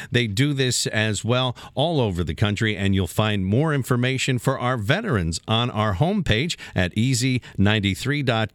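Guy hosting a radio interview, regular pitch, really dry studio audio. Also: dry recording. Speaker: radio show host interviewer.

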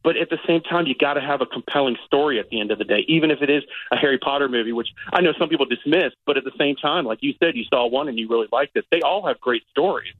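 Male radio guest critic, rapid conversational delivery, chatty and opinionated, mid-pitched, dry sound. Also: no echo, phone-quality sound. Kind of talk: casual radio movie review chat.

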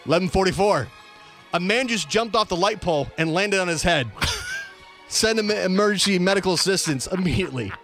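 A man with a notably high voice hosts a talk show. He reads quoted reports and times aloud with emphatic, announcer-style delivery. Faint music plays behind him. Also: expressive, fairly quick, fairly bright tone. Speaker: radio show host. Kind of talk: reading police dispatch quotes aloud on a comedy-tinged talk show.

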